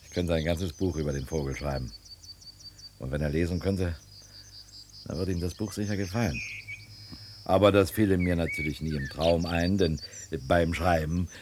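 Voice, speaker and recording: A man's voice: low voice, fairly even intonation, normal speed, pauses often, background noise, close to an everyday voice. An actor playing an easygoing, wry male neighbour who teases and muses in a German radio play. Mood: fond, musing. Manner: teasing, leisurely, wry. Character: easygoing, mocking male neighbour, bird-loving cider maker.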